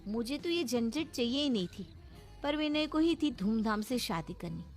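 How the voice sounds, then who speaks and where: A woman's voice: a little high, animated, normal speed, faint music behind. A woman voicing a haughty, impatient modern young daughter-in-law, bossy and dismissive, in a cartoon story. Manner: bossy, dismissive, mixes in English. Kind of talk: cartoon voice acting.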